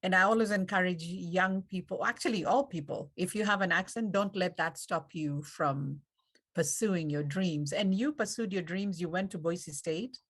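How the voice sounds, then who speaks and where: A woman with a Kenyan accent hosting an online panel, speaking in an encouraging, unhurried way with fillers, over a video call. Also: on the low side. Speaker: event host. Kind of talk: warm panel moderation.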